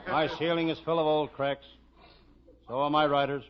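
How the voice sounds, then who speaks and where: Parody radio detective: man acting deadpan American private eye, hard-boiled narration, muffled 1940s sound. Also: on the high side, moderate pace, very noisy, natural voice.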